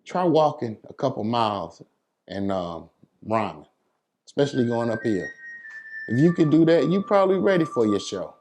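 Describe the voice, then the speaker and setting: A man's voice: medium pitch, big pitch swings, moderate pace, darkish tone, a little hiss or hum. A man from New York City speaking as a DJ in a casual interview, giving emphatic advice in informal, slangy talk. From New York City, United States. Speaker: DJ being interviewed.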